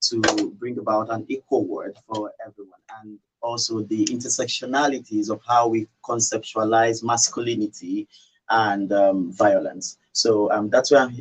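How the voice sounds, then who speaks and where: A Nigerian man giving a radio interview in a fairly low voice. He speaks formally and haltingly, a little away from the microphone. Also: husky, distant mic, low-quality recording. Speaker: studio guest, gender equality advocate. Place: radio studio.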